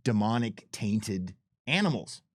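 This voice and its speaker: A man's voice: lowish voice, animated, measured pace, no echo, studio quality. A man speaking casually and unscripted as a game developer, emphatic and often repeating and restarting his phrases. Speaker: game developer on a commentary stream. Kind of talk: casual unscripted conversation about game design.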